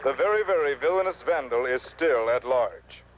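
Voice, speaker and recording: An actor playing a solemn, melodramatic radio newscaster in a parody news bulletin for children. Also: male voice, mid-range voice, lively intonation, moderate pace, a little hiss or hum, natural voice, rough recording. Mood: grave, dramatic. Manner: formal, dramatic announcing.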